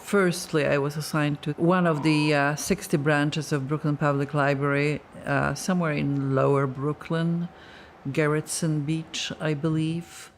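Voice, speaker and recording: Slow, halting woman. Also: low-pitched, little pitch movement, a little hiss or hum. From Poland. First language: Polish. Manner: reflective, halting, searching for words. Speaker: librarian. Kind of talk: documentary interview.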